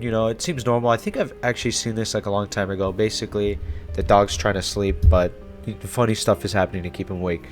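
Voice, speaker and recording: Casting: male YouTube host, lower register, chatty and irreverent horror recaps over background music. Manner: informal, irreverent, conversational. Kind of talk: casual horror series explainer.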